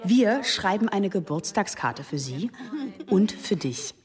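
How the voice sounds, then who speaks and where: A scornful, blunt friend. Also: female voice, medium pitch, very animated, slow pace, darkish tone. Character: sharp-tongued young woman, a mocking friend.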